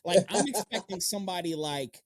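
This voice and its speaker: A man's voice: high-pitched, animated, a little fast, dry recording, studio quality. A man hosts a casual interview, talking in loose slang with frequent swearing and repeating words for emphasis. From Long Island, United States. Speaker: podcast host.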